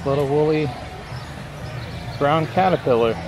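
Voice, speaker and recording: A man narrating a casual walking tour of an animal park, making relaxed, chatty asides. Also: on the high side, a little slow. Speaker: vlogger. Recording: loud crowd and outdoor noise.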